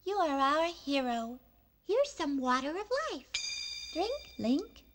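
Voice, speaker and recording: A sweet, encouraging little fairy who speaks very slowly in a high, sing-song voice. The old game audio is loud with hiss. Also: female voice, lively intonation. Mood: cheering. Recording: noisy lo-fi game cutscene audio.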